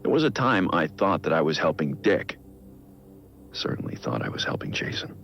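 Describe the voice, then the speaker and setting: Guilt-ridden vigilante, middle-aged, rock-bottom pitch, grave measured delivery, game audio log, music behind. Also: male voice, big pitch swings, dull audio, full character voice. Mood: brooding, doubtful. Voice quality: deep, gravelly. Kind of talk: scripted audio log narration, video game acting.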